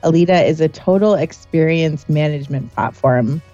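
A woman co-hosting a business podcast, introducing a guest in a warm, admiring way. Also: sits low, normal speed, dry recording, dull audio, faint music behind. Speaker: podcast co-host.

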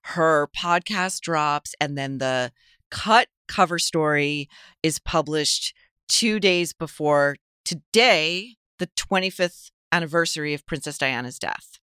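Bright, clear woman guest commentator. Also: low-pitched, slow, dry recording, studio quality. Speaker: guest columnist. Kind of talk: conversational commentary.

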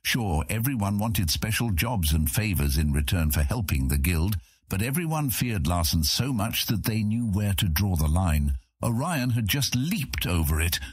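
A man reads a fantasy story as narrator. His voice is low and husky with animated rises and falls, captured close in a dry studio sound. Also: moderate pace, no room sound. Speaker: audiobook narrator.

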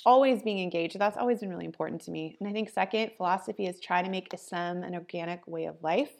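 A woman in her forties from Ohio, a podcast guest telling her story in a relaxed, chatty way with many ums and likes. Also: lowish voice, fast, gravelly. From Ohio, US Midwest. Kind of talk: casual interview chat.

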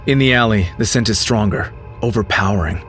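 A man's voice: fairly low pitch, a little fast, lightly acted. A man playing a grim, haunted narrator, telling a first-person horror audio drama with tense, dramatic delivery. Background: prominent music.

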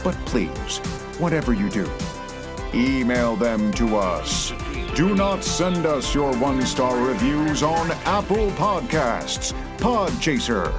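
Animated male announcer over music. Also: medium pitch, slow pace. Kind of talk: scripted podcast credits announcement.

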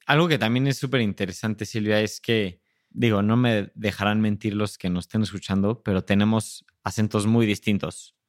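A man hosts a podcast conversation in a fairly low voice. He asks relaxed, thoughtful questions padded with easy filler phrases. The recording is close and dry. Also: normal speed, no echo. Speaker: podcast host. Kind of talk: casual interview.

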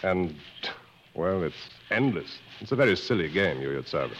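A man's voice: sits low, sing-song, normal speed. An actor playing a seasoned, world-weary male jungle guide in a radio drama, speaking in a knowing, didactic, dryly fatalistic way. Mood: weary, philosophical. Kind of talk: mid-century American radio drama acting. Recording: dull vintage radio recording, faint noise.

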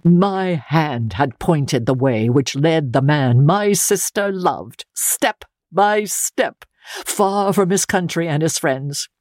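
A woman narrating a classic novel in the first person, reading dramatically in a measured, grave manner with weighted pauses. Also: low-pitched, darkish tone, no room sound, clean studio sound. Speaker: audiobook narrator.

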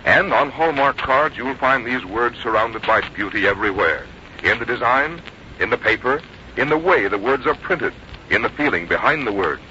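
A male announcer reading a scripted sponsor message in a polished, measured, earnest 1950s radio style. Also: mid-range voice, animated, bright, forceful tone. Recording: dull, noisy 1950s radio recording.

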